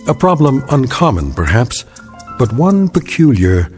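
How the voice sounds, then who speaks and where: A man's voice: medium pitch, sing-song, measured pace, a little mellow. An actor playing an ominous male narrator introducing an eerie radio anthology drama. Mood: ominous, measured. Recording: long reverb, music underneath.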